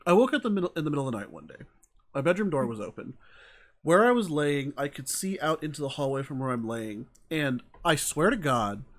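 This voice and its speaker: Joking man hosting a casual interview. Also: fairly high pitch. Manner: joking, self-deprecating asides. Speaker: interview host. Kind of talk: casual chat.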